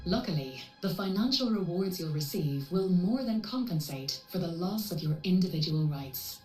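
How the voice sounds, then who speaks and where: A woman playing a composed female AI handler in a video game, speaking in a measured, formal and clinical way. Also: fairly low pitch, lively intonation, echoey room, recorded from a distance, over music, close to an everyday voice. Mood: dry, formal. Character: composed female AI handler briefing mercenary runners. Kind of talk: scripted video game cutscene dialogue.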